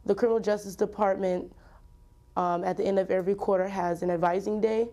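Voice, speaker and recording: Young adult woman, flat intonation, soft-edged tone, student giving an informal testimonial. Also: medium pitch, a little fast. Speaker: college student.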